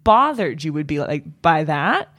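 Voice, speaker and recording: A woman with a somewhat low voice co-hosts a comedy podcast in a loose, casual way. She rambles as she talks, circling back with lots of fillers and restarts, and her pitch sits on the lower side for a woman. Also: big pitch swings, moderate pace, fairly bright tone. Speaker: podcast co-host.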